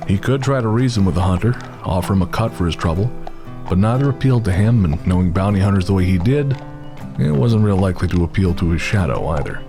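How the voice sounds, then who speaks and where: A man's voice: sits low, expressive, fast talker. A man giving scripted narration as the storyteller of a western horror audio drama. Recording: music bed behind the voice.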